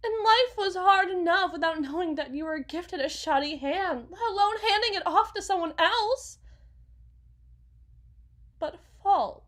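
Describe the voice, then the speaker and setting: A woman playing an anxious, fragile wife and mother in an ASMR roleplay, rambling haltingly and correcting herself as if blaming herself. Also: very high voice, animated, fairly bright tone, exaggerated voice. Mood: wistful, defensive. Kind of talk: ASMR dramatic monologue roleplay.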